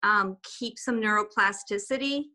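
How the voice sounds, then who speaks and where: Chatty bright-voiced woman on a video call. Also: medium pitch, unhurried. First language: German and Swiss German. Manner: chatty, rambling with restarts. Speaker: guest artist. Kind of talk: casual video call chat.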